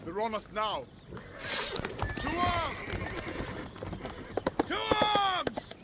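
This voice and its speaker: Alarmed soldier, very high-strung shouts. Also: male voice, huge pitch swings, drawn-out words, very noisy, full character voice. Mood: urgent, alarmed. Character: alarmed soldier serving a lord, urgent, dutiful. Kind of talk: audio game drama acting. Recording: loud horse and battle effects behind.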